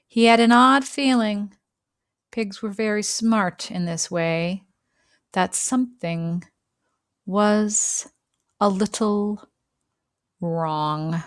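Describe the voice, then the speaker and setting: Unhurried woman reading a children's storybook. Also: mid-range voice, no room sound, clean studio sound. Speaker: storybook reader. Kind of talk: expressive children's read-aloud narration.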